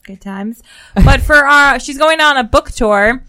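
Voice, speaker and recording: A woman's voice: high-pitched, a little slow, bright, forceful tone. An American woman co-hosting a podcast, chatting casually about books in informal speech full of "like". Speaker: podcast co-host discussing upcoming books. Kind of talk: casual book chat.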